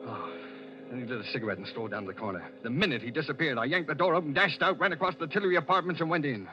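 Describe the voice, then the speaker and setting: A man's voice: fairly high pitch, very fast, over loud music, close to an everyday voice. An actor playing an evasive, hesitant man on the run in a 1940s radio thriller. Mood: tense narration. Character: jittery fugitive man with lost memory, evasive noir hero. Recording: muffled, band-limited vintage radio recording.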